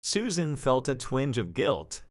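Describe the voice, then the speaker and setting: Male narrator for audio drama: measured storyteller, animated pitch movement, mid register, very dry sound. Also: no room sound.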